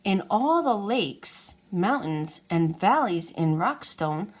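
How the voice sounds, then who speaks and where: A woman voicing a young, lonely, gentle male dragon in a children's storybook, plaintive and earnest. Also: mid-range voice, big pitch swings, slow pace. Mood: sad, lonely. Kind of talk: children's storybook character reading.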